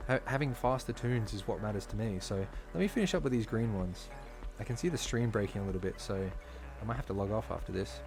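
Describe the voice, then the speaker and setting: A man's voice: on the low side, brisk, few pauses. Chatty man streaming over background music. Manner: chatty, filler-heavy, talks while playing. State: slightly hoarse. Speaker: game streamer. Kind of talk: casual live-stream commentary. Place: home streaming setup. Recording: clear background music under voice.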